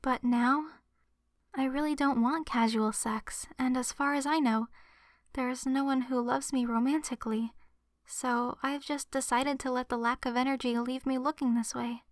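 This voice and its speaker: Succubus best friend for ASMR roleplay, female performer: high voice, soft and hesitant, earnest, very dry close-mic sound. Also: steady tone, normal speed, no room sound, natural voice. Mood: earnest, confiding. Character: gentle young adult succubus woman, shy lovestruck best friend. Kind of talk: ASMR roleplay, addressed to the listener. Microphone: close microphone in a dry space.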